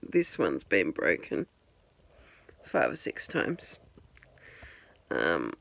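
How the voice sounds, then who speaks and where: Woman in her forties, rambling vlog talk, slow unhurried pace, dry close phone sound. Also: very deep voice, no room sound. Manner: rambling, chatty, self-deprecating, many fillers. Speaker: vlogger. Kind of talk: casual talk to camera. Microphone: handheld phone.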